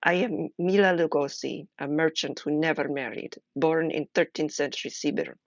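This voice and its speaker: A woman voicing an older medieval merchant with a put-on Russian accent, reading her journal in a measured way. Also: sits low, dry recording, lo-fi sound. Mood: measured, wry. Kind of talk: character reading of journal entries.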